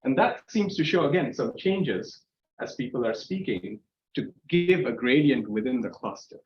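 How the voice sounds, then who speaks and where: A man's voice: mid-range voice, expressive, moderate pace, dull audio. A man giving a guest lecture at a university seminar, explaining in a loose style with restarts along the way. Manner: explanatory, with frequent fillers and restarts. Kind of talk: academic seminar talk. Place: lecture room.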